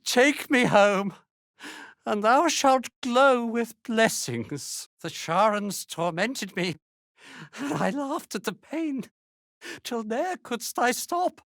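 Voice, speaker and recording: A woman's voice: on the high side, sing-song, slow pace, dry recording, clean studio sound, exaggerated voice. A woman playing a cursed, mad old grandmother in a video game, with archaic courtly speech and compulsive laughter. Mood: tormented mirth. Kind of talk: video game character dialogue.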